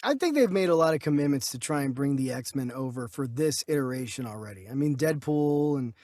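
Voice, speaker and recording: A man co-hosting a casual discussion, reasoning through his opinions in a halting way and repeating himself. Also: mid-range voice, lively intonation, darkish tone, dry recording, clean studio sound. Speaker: co-host of a movie news show.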